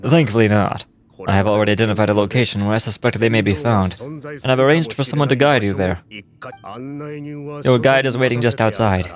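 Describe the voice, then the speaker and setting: A man's voice: on the low side, natural voice. Formal scholarly man acted out by a male reader. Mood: composed instructing. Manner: formal, measured, expository. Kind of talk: reading game quest dialogue aloud. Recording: music playing behind the voice.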